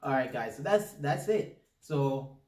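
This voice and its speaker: A young man chats casually as a vlog co-host. He is some way from the mic in an echoey room, with a medium-pitched voice. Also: animated, a little slow, a little mellow, far from the mic. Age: 20s. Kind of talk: casual vlog intro chat. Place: indoor room against a plain wall. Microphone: camera a short distance away.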